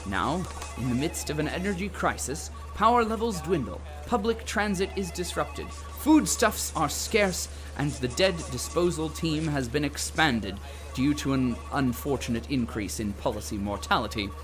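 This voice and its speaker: A man's voice: fairly high pitch, expressive, moderate pace, music in the background, lightly acted. A man playing a grandiose corporate propaganda narrator, solemn and dramatic, in a scripted comedy audio drama. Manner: pompous, solemn, dramatic announcing.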